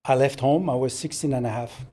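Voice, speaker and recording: An older man from Morocco whose first language is French, telling his life story slowly as a podcast guest, with hesitant pauses and fillers. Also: medium pitch, warm tone. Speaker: podcast guest, business owner. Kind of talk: relaxed interview storytelling.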